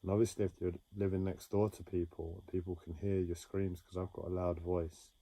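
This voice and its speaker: A man talking slowly and haltingly about his own experiences in a reflective monologue, with many pauses and restarts. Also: deep voice, level tone, warm tone, no room sound. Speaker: livestreamer sharing a personal story. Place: home.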